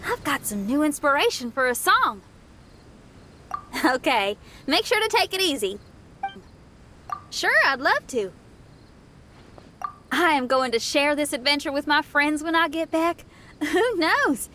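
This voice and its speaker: A woman's voice: high voice, sing-song, measured pace, long pauses, sharp tone, a little hiss or hum, full character voice. A woman voicing an upbeat young rock musician in a video game, friendly, breezy and spirited. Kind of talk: video game character voice acting.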